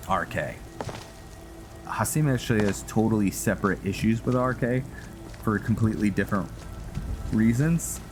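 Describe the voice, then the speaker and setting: A man hosting a roleplay game series with casual, halting commentary as he explains lore. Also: deep voice. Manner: halting, thinking aloud.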